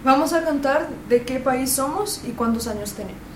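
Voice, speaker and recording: A woman's voice: high voice, animated, normal speed, a little mellow, reverberant, far from the mic, slight background noise. A teenage girl born in Bogotá to American parents, speaking cheerfully and plainly as a student radio guest. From Colombia. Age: teens.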